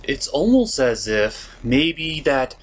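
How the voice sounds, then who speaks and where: A man's voice: mid-range voice, big pitch swings, slow pace. A man hosting a comedic scripted cartoon review in a theatrical, sarcastic and exasperated manner. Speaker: host of an online puppet review show.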